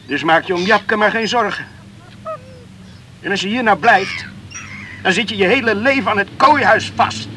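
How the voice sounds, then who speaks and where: High-voiced, restless young man. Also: normal speed, long pauses, bright, forceful tone, a little hiss or hum. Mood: self-reproachful. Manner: brooding, agitated, talking to himself. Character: restless, torn young islander, brooding and self-reproachful. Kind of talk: radio play monologue.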